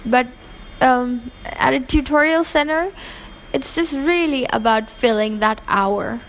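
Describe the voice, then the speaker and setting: A woman from Pakistan, raised in Hong Kong, shares her views in an interview. Her voice sits a little high, and she speaks earnestly with frequent fillers and self-corrections. A faint background hiss runs under the recording. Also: takes it slowly, rough recording. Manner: earnest, opinionated, many fillers and restarts. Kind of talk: casual interview answers.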